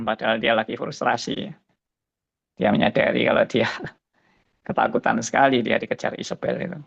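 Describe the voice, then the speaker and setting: A pastor in his seventies giving a slow, musing spoken testimony, often tagging his phrases with a soft "ya". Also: medium pitch, steady tone, gravelly, lo-fi sound. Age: 70s.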